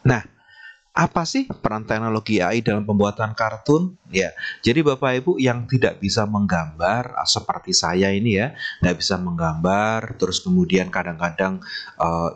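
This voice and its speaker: A man acting as trainer, giving an online training lecture and reading through slide points while politely addressing his listeners. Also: on the low side.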